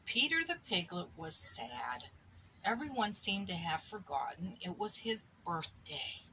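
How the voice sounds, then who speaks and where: A woman reading a picture book aloud expressively to a child, pausing for little asides. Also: fairly low pitch, big pitch swings, bright, forceful tone, slight background noise, rough recording. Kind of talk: animated storybook read-aloud to a child.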